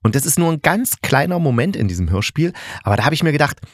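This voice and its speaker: A man's voice: a little high, big pitch swings, fast, no room sound, clean studio sound. A man hosting a podcast, giving a casual, conversational review in a chatty way with wry asides to his listeners. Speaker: podcast host reviewing audio dramas. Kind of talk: casual spoken review, telling plots conversationally.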